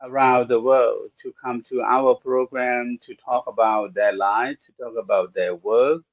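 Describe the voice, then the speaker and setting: Thai-accented male podcast host, slow and halting, dry, slightly dull sound. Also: mid-range voice, steady tone, no echo. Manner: slow, halting with many "uh" pauses. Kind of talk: unscripted podcast talk.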